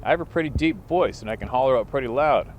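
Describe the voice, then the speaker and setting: A man's voice: mid-range voice, fast talker, a little hiss or hum, low-quality recording. Man reading a listener's story outdoors. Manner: plain storytelling, steady reading. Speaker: channel host reading a listener letter. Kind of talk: reading a viewer's story aloud. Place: outdoors by the water.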